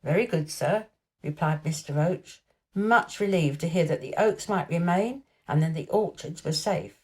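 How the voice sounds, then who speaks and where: A woman's voice: fairly low pitch, normal speed. A woman reading a children's storybook aloud as a scripted narration. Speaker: storybook reader.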